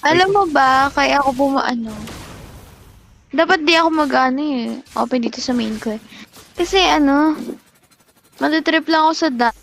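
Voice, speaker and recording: A woman's voice: sits high, normal speed, gravelly, pauses often, fairly bright tone. A woman with a Filipino accent chatting casually during a mobile game, dropping in English slang. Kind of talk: casual chat while gaming. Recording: background noise under voice chat.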